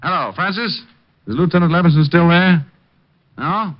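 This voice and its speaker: An actor playing a hard-boiled, skeptical private eye with a wry, slangy delivery in an old radio noir drama. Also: male voice, fairly high pitch, moderate pace, natural voice. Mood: wisecracking, urgent. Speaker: private detective lead and narrator. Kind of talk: 1940s radio noir acting and narration. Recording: dull vintage radio recording.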